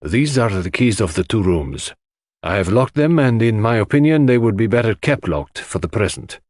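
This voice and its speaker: Man playing a grave, low-voiced doctor. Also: sing-song, a little mellow, no echo, studio quality. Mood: guarded. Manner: formal, measured. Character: grave, guarded male doctor, precise and authoritative. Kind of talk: dramatic audiobook mystery reading.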